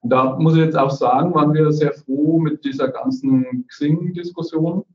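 A man's voice: fairly high pitch, steady tone, moderate pace. Man chatting on a roomy video call. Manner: chatty, frequent "ne?" tags and "äh" fillers. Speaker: community founder presenting on a call. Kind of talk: casual screen-share presentation. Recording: roomy, distant, dull video-call audio.

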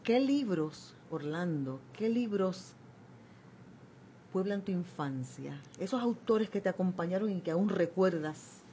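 Woman, lowish voice, conversational radio interviewer, noisy studio recording. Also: expressive, a little slow, mellow. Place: small radio studio, indoors. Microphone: studio microphone on boom arm. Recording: clear background noise, live webcast.

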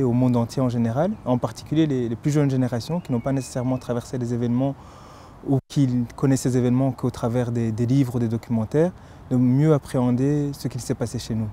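A man in his thirties puts formal questions with measured politeness, sometimes restarting his phrases. His voice is very dark and mellow, recorded outdoors in a park with faint traffic noise behind. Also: mid-range voice. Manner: measured, polite, slightly halting. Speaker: interviewer.

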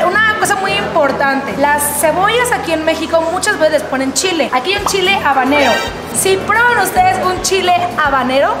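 Mexican local woman, very high voice, instructive, echoey crowded room. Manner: warm instructive, fond diminutives. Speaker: local guide friend.

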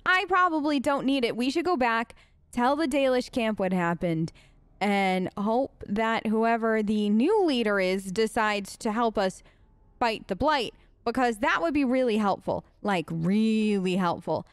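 A woman's voice: a little high, unhurried, clean tone, no echo. A woman doing casual, improvised role-play game commentary as a let's play host, chatty, earnest and rambling.

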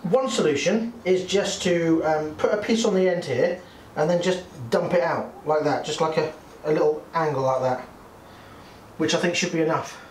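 A man's voice: fairly high pitch, moderate pace. A man in his forties, hosting, explaining a motorcycle build to camera in a casual, chatty way while working outdoors by a shed. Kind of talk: casual workshop chat. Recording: echoey, distant, faint noise.

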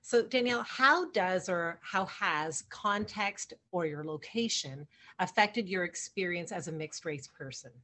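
A woman's voice: on the low side, animated, measured pace, sharp tone. A woman in her thirties co-hosting an online discussion from a room at home, over a video call with some faint noise. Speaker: panel co-host. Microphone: video call microphone.

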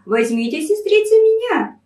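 A woman's voice: very high-pitched, big pitch swings, moderate pace, mellow, voice pushed up. A woman with a Northern Russian Pinega dialect voicing a meek, pleading young peasant girl in a folk tale. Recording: echoey room, distant microphone.

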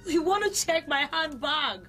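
A haughty, very high-voiced rich girl. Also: big pitch swings, normal speed, soft background music. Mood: indignant. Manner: indignant, scolding, theatrical. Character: haughty rich young woman, senator's daughter. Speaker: wealthy customer in a boutique.

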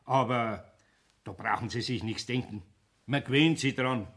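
An actor playing a good-natured, patient older carpenter with a Bavarian accent in a German children's radio play, folksy and conversational. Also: male voice, medium pitch, a little slow, a bit bright. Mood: earnest.

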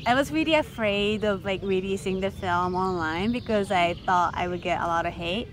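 Woman, young, Japanese accent, frank, lively, filler-heavy, casual interview, noisy background. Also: mid-range voice, moderate pace. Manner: lively, frank, many fillers like "like" and "you know". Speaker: guest filmmaker. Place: festival setting. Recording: noisy ambience behind.

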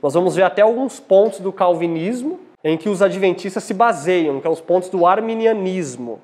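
A young Brazilian man teaching from the Bible in a didactic way, pausing to put questions to his listeners. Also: high voice, expressive, darkish tone. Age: young adult. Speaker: preacher giving a lecture at a church congress. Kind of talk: Bible teaching sermon with scripture reading. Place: small indoor church hall at a lectern. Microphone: lapel.

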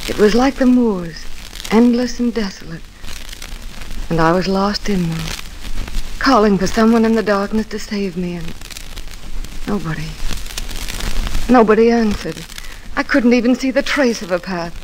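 A woman's voice: mid-range voice, close to an everyday voice. A woman playing an emotional, haunted young woman longing for love, with fervent dramatic delivery in vintage radio style. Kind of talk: 1930s-40s radio acting. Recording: noisy old radio drama recording.

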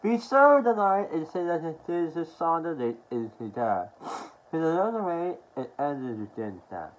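A young adult man from Australia talking haltingly and with mumbled words in a casual show-and-tell to camera at home. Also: on the high side, sing-song. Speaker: collector showing his media haul. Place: small furnished room at home, indoors. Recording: dull lo-fi sound with background noise.

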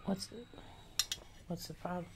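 A woman's voice: lowish voice, flat delivery, measured pace, lightly acted. A young woman playing a haughty, menacing jungle giantess queen in a whispered, condescending ASMR roleplay, close to the mic. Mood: coaxing, imperious. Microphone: close mic in front of camera. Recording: close mic with audible background noise.

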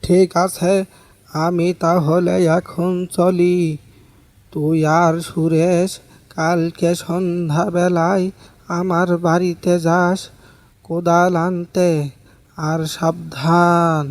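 Man acting a high-pitched, slow village uncle. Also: flat delivery, dry recording. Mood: earnest, cautioning. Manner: slow, measured, instructive. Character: kindly village uncle, secretive, wise keeper of a magic spade. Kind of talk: animated fairy-tale dialogue.

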